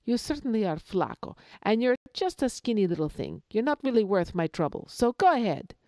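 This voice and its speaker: A woman voicing a sly, hungry male fox in a told folktale, slow and drawling. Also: medium pitch, big pitch swings, warm tone, no echo. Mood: dismissive.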